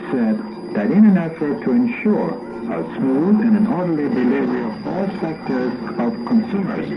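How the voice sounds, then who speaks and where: A male newsreader delivers a formal scripted bulletin. His pitch moves in a lively way and his voice has a gravelly creak. It comes over shortwave radio, buried in very loud static. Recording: noisy dull shortwave radio broadcast, heavy static. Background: loud shortwave static and interference.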